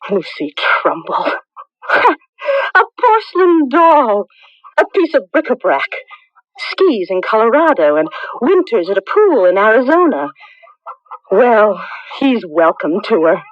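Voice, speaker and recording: A proud young farm woman scorned in love, in a radio drama. Her delivery is slow, intense and very lively in pitch, cutting with sarcasm. The sound is dry and a little dull. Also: no room sound. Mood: bitter. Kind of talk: old-time radio drama acting.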